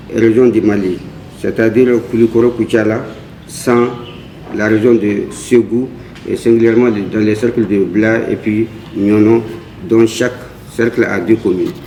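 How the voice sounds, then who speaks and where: A man delivers a formal speech in a soft-edged, dark voice. His phrasing is measured and halting. He sounds away from the microphone in a room with plenty of echo. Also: on the low side, fairly even intonation. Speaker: NGO representative. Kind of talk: formal opening speech at a community meeting. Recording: echoey room, distant mic, faint noise.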